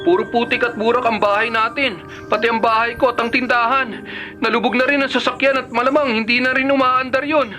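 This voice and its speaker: An actor playing an earnest, troubled younger brother in a Filipino radio drama. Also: male voice, extremely high pitch, fairly even intonation, fairly quick, pushed and bright. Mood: troubled, weary. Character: earnest younger brother reporting flood disaster. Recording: music behind the dialogue.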